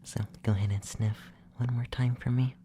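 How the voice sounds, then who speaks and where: A performer playing a calm, clinical, gently curious young effeminate male alien abductor in a soft, breathy, often whispered ASMR roleplay, speaking slowly and soothingly. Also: medium pitch, background noise, close to an everyday voice. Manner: slow, soothing, softly spoken, matter-of-fact.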